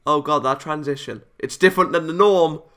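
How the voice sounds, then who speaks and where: A man hosting a gaming video, reading a visual novel aloud and giving casual, rambling commentary in a halting way. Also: a little high, sharp tone.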